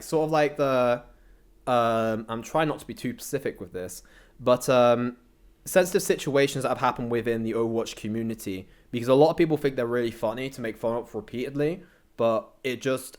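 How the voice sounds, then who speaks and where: Young man, mid pitch, casual chat, slangy, rambling, jokey, interview guest. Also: fairly quick. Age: young adult.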